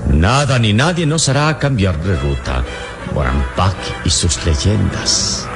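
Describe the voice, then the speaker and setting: Very deep-voiced, solemn adventurer hero. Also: male voice, animated, music in the background, full character voice. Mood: rapt, daring. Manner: grandiloquent, measured, courteous. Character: wise, bold adventurer hero, gallant and solemn. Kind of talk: old radio serial acting. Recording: noisy old radio serial, vehicle drone.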